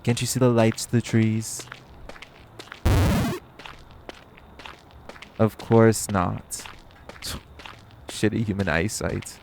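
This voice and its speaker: A man's voice: on the low side, steady tone, takes it slowly, vocal fry, a little mellow, a little hiss or hum. A man playing a cocky, teasing vampire in an audio roleplay, bantering with a hunter in a smug, sardonic, flirty way and swearing freely. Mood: exasperated. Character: cocky, teasing, hungry male vampire carrying his hunter rival. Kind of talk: audio roleplay acting, addressed to the listener.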